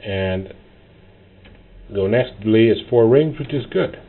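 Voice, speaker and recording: A man narrating a step-by-step tutorial as an instructor, often in short, halting instructional phrases. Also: on the low side. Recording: tinny phone-like audio, clear noise.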